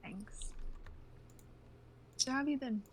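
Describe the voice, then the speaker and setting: A woman's voice: high voice, slow. A woman playing an anxious, lonely young woman in a dramatic script reading over noisy livestream audio. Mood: delighted.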